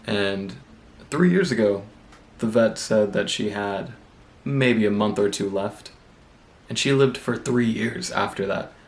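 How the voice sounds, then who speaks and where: A young man with a cold, congested, chatting casually and rambling in a relaxed, self-deprecating way as a book vlogger. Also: fairly low pitch, takes it slowly. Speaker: booktube vlogger. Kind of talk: casual vlog chat.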